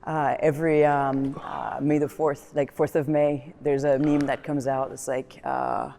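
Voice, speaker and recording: A woman from Colombia tells stories in a relaxed, chatty way, often breaking into laughter. She is recorded in a gym with a long, echoing reverb around her voice. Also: rumbling low, unhurried, vocal fry. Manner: animated storytelling, laughs often. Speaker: guest, former rugby player. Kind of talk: casual chat during a gym workout.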